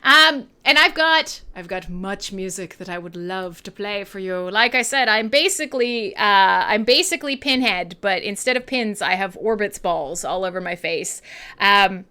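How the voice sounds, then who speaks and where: A woman with a Canadian accent hosting a casual, irreverent live radio show, talking in an excitable, playful, rambling way with plenty of swearing. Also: mid-range voice, moderate pace, clean tone. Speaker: radio host and metal DJ. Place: her own home-style studio.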